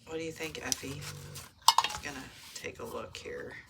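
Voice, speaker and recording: A woman's voice: a bass voice, moderate pace, vocal fry. A woman chatting to viewers while making a junk journal page, musing aloud and slipping into near-whispers, with a chesty cold. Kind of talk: casual crafting chat to viewers. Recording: noisy home recording with clicks.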